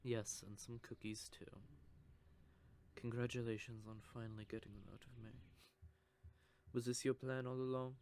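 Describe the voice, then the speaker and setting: A man voicing a cocky, possessive male vampire who teases with soft whispered asides, in an audio roleplay. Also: mid-range voice, flat delivery, moderate pace, a little hiss or hum, lightly acted. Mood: teasing. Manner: teasing, smug, with soft whispered stretches.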